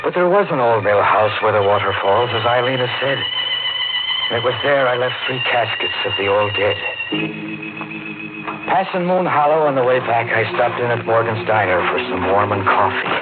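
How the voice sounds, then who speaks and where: A man's voice: medium pitch, lively intonation, moderate pace, heavy background noise, natural voice. A man playing a haunted, tired truck driver, narrating his tale lyrically in a 1950s radio drama. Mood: weary, puzzled. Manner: brooding, lyrical storytelling. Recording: muffled old radio recording, loud music behind.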